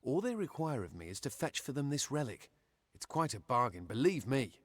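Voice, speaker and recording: A man's voice: medium pitch, big pitch swings, fairly quick. A man voicing a naive, boastful young man in a video game, speaking in an earnest, impulsive, self-important way. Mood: boastful, eager. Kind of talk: video game character acting.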